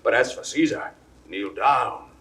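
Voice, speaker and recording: An actor playing a mocking Roman soldier in a 1960s radio play, theatrical and sardonic. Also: male voice, mid-range voice, a little slow, a bit bright, natural voice.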